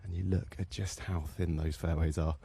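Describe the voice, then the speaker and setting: A man reporting live from a golf course, talking quietly and walking along with the players as he commentates. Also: low-pitched, flat delivery, moderate pace, gravelly, breathy edge.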